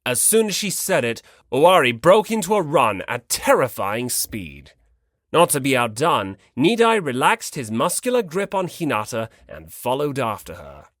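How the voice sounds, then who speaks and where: Lively audiobook narrator, dry and close. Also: medium pitch, moderate pace, no echo. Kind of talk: scripted expressive fiction narration.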